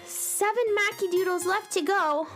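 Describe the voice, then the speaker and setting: A woman's voice: extremely high pitch, moderate pace, fairly bright tone, faint music behind. A woman acting a perky, good-hearted little girl who talks earnestly and chattily in a children's radio drama. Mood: chatty, restless.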